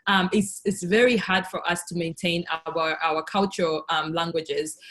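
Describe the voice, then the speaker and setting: A woman from Tanzania with Swahili as her first language hosts an informal group video call. Her voice sits a little low, and she talks in a loose, halting, conversational way with plenty of "like". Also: fairly even intonation, a bit bright. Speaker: host of a virtual cultural session.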